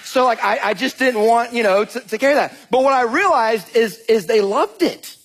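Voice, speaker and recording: A man with a Georgia drawl, preaching with a high-set, slightly husky voice. He tells his stories in an animated, joking way and calls the room "y'all." He sounds like a pastor in his thirties. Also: normal speed. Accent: Southern US (Georgia). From Georgia, US South. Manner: animated, jokey storytelling, addresses crowd as y'all. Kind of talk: sermon with storytelling.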